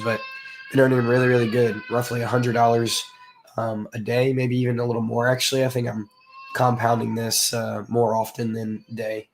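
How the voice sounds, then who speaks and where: A hoarse man from the southern United States, hosting a casual livestream and chatting with viewers. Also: medium pitch, a little hiss or hum. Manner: rambling, filler-heavy, greets chat viewers. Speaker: crypto livestream host.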